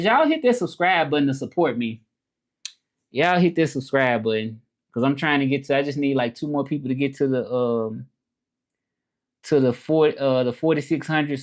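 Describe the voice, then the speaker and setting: A man from Memphis with a Southern American accent, chatting casually on a live stream, repeating himself and switching between English and French as he talks to viewers. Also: medium pitch. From Memphis, Tennessee, USA. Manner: repeats phrases, talks straight to viewers, switches languages mid-thought. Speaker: live streamer and language teacher.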